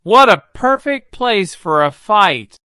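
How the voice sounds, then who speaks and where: A woman's voice: medium pitch, sing-song, takes it slowly, pushed and bright, clean tone, no echo, clean studio sound, voice pushed up, full character voice. A woman voicing a gallant, reasonable male knight from a storybook, in an agreeable manner. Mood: pleased.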